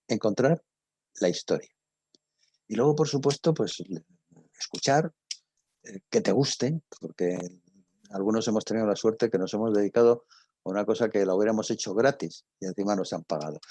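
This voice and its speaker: An older man with a husky voice and a Castilian Spanish accent, informally answering students as a radio drama teacher in a conversational, storytelling way. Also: low voice, mellow, no echo.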